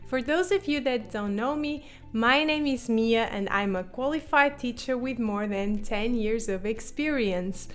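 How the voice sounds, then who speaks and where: A woman's voice: a little high, unhurried, few pauses, soft background music. A Portuguese woman teaching her language in English, explaining patiently and repeating example phrases. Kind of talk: online language lesson.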